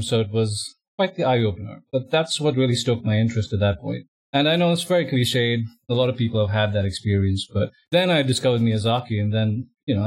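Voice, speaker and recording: Man, podcast guest, chatty and relaxed, medium voice. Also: mid-range voice, husky. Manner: relaxed, chatty, self-deprecating. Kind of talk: casual podcast chat.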